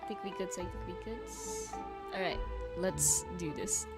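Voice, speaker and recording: A woman's voice: lowish voice, measured pace, loud music behind the voice. A woman streaming a video game, chatting casually and slangily with her viewers and laughing often between sentences. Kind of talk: casual live-stream chat.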